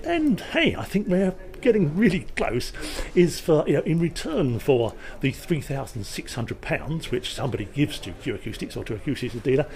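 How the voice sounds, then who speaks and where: A man's voice: fairly high pitch, big pitch swings, normal speed, creaky, darkish tone. A man speaking as a company representative, informally explaining a product at a trade show with emphatic salesman's patter and wry asides.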